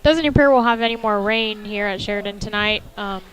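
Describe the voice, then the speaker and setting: A woman's voice: mid-range voice, brisk, a bit bright, smooth, rough recording. A woman adding live commentary at a football game as co-commentator.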